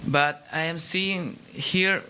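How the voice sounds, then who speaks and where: Chilean man with a Spanish accent, audience question, slightly high and bright, very slow, halting English, faint hall ambience. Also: steady tone, slight background noise. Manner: hesitant, searching for English words, many restarts. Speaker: audience member asking a question.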